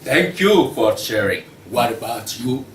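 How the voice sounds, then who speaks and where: A man's voice: medium pitch, animated, a little slow, natural voice. A man playing a businesslike pig who presides over a jury in a student play reading. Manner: orderly, presiding. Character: businesslike pig jury foreman running the vote. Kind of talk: student table read of a comic play. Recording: echoey room, distant mic, faint noise.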